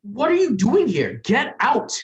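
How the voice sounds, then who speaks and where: A performer playing a flustered, defensive young psychologist in a dramatic script reading, halting and self-questioning. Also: medium pitch, wildly swooping pitch, husky, heavily acted. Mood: indignant. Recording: dull, muffled recording.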